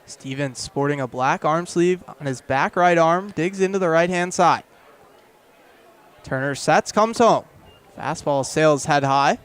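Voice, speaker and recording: High-voiced young man calling baseball. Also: measured pace, clear, resonant tone, a faint crowd. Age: young adult. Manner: vivid descriptive calls that rise with the action. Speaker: baseball play-by-play announcer. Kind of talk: live radio sports commentary. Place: outdoors at a ballpark.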